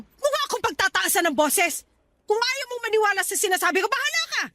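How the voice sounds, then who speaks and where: A woman's voice: very high voice, expressive, quick pace, sharp tone, dry recording, clean studio sound, heavily acted. A woman playing a blunt, nagging older mother in a radio drama, scolding and insistent. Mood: stern, hurt. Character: blunt, nagging older mother warning her married daughter. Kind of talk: Tagalog radio drama acting.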